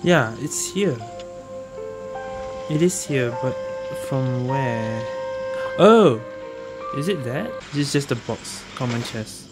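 A woman with an exceptionally low voice streams a game. She thinks aloud in a casual way, repeating herself, with game music clearly behind her. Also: expressive, slow pace, long pauses. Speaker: gaming streamer. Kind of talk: casual gameplay commentary.

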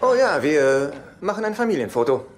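An actor playing a fawning, flustered adult son and younger brother in a sitcom dub, hesitant and stammering. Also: male voice, a little high, big pitch swings, fairly quick, crowd noise, noisy background. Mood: evasive. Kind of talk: German sitcom dub acting.

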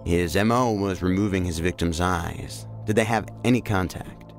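An actor playing a terse, probing federal investigator with dry, clipped questioning in an audio drama. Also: male voice, low-pitched, moderate pace, soft background music. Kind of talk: science fiction audio drama acting.

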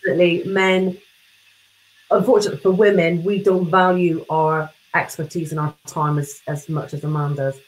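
A woman's voice: fairly low pitch, fairly even intonation, normal speed, low-quality recording. A woman hosting an interview over a video call, talking in a hesitant way with likes and ums. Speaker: interviewer, host. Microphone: webcam on video call.

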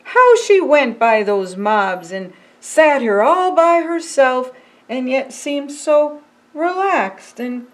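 Slow-reading older woman, storytime. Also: high voice. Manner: slow, deliberate, expressive storytelling. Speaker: pastor reading a children's story. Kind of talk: picture-book storytime reading. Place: indoors by a fireplace.

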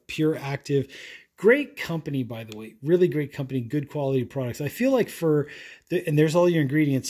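A man's voice: medium pitch, darkish tone. A man casually reviewing products at a table indoors, talking in a rambling, chatty way with many fillers and restarts.

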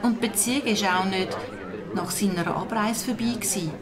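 A woman's voice: medium pitch, a little fast, natural voice. A woman playing a gossipy village woman passing on local rumour in a scripted audio drama. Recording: loud crowd chatter behind.

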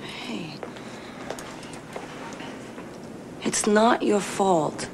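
A woman's voice: medium pitch, drawn-out words, vocal fry. An actress playing a wry, caring office worker in her thirties, with an American accent. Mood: concerned. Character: wry, caring young woman working in an office. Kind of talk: naturalistic television drama acting. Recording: noisy television drama soundtrack.

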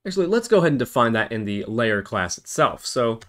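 Man, tutorial host, mid-pitched voice, lively intonation, step-by-step explanation, thinking aloud. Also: brisk. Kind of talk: programming tutorial narration.

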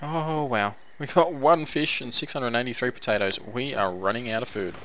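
A man giving casual commentary while playing a strategy game, hoarse and coughing now and then as his voice wears out, chatting to his villagers as he goes. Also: medium pitch, moderate pace, a bit bright, slight background noise, rough recording. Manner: chatty, talks to the game's villagers. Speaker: gameplay commentator. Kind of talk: casual let's-play commentary.

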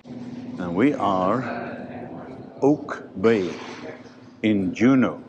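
Slow-talking older man, noisy travel vlog. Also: on the low side, highs cut off. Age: 60s. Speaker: vlog host, roofing contractor. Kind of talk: casual travel vlog chat. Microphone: clip-on lapel mic. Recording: noisy on-location vlog audio.

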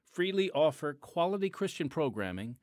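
A man's voice: on the high side, sing-song, moderate pace. A man hosting a podcast in a friendly, chatty manner, reading announcements from a studio mic. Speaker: podcast host. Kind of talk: podcast host segment and announcements.

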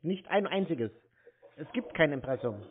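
A man's voice: a little high, expressive, moderate pace, crowd noise. A man arguing over the phone in a blunt, insistent, scolding way. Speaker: scam-baiting caller. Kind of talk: confrontational phone argument. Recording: tinny narrow phone line.

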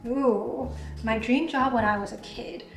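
A woman's voice: a little high, a little slow, gravelly, far from the mic. Twenty-something woman, chatty vlog q&a, echoey kitchen, music under it. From Taiwan. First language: Mandarin. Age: 20s. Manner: chatty, frequent "like" fillers. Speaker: YouTuber. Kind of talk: casual Q&A vlog. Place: home kitchen, indoors. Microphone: camera across the counter.

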